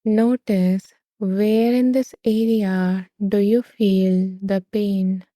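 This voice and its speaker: A woman leading a guided meditation in a slow, soothing way, with long gentle pauses. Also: medium pitch, little pitch movement, dry recording.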